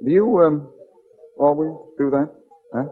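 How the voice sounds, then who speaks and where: An actor playing a wry, shrewd, self-assured amateur detective in a 1940s radio drama, probing with deceptively casual questions. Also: male voice, on the high side, measured pace, faint music behind, natural voice. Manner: probing, wry, hesitating before pointed questions. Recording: dull, noisy 1940s radio recording.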